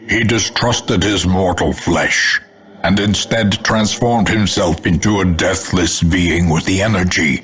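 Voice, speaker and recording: A man playing a grim gothic game narrator, announcing in a grandiose, deliberate and theatrical style full of foreboding. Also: deep voice, a bit bright, exaggerated voice. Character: grim, ominous male gothic narrator announcing fantasy heroes. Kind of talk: dramatic scripted narration, gothic fantasy.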